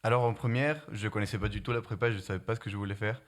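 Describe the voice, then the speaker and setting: A young man from Guatemala with a light Spanish accent, answering interview questions in a halting way, often restarting and searching for words. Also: fairly low pitch, steady tone. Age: young adult. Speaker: prep-school student.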